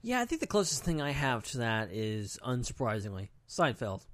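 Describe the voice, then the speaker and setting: A man chats as a podcast co-host in an informal, loose way, his sentences broken up with plenty of "like". His voice sits at a middle pitch and is recorded close and dry. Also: huge pitch swings, moderate pace, fairly bright tone, dry recording. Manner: informal, talks in loose fragments peppered with "like". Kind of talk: casual pop-culture podcast chat.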